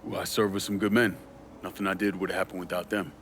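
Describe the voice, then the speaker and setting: An actor playing a loyal, tough young war veteran in a video game, plain-spoken, respectful and earnest. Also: male voice, low-pitched, flat delivery, quick pace, a bit bright, a little hiss or hum. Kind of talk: cinematic video game dialogue. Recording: music behind the voice.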